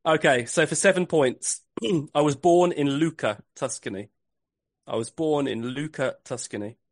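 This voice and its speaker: A man's voice: a little high, no echo. Dry-sounding male quizmaster. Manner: reads clues slowly and repeats them. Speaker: podcast host and quizmaster. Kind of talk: casual podcast quiz.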